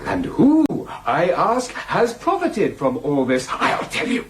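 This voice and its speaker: A fanatical male demagogue in a radio drama, pitched high and swinging widely as he declaims to a meeting. He is a hard nationalist ex-soldier, with clear noise behind him. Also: wildly swooping pitch, slow pace, full character voice. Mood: bitter, rousing. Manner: declamatory, builds to shouting. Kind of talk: radio drama political oratory.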